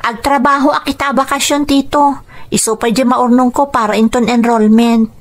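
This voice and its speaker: A woman's voice: on the high side, steady tone, moderate pace, natural voice. A woman with an Ilocano Filipino accent acting in a radio drama as a grateful, dutiful teenage niece, in an earnest, emotive way.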